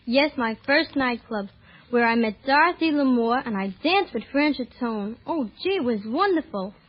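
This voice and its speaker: A sixteen-year-old girl from New York City speaks as a guest in a scripted 1930s radio interview. Her voice is high, and the old recording is muffled. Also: lively intonation, moderate pace. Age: 16, teenager.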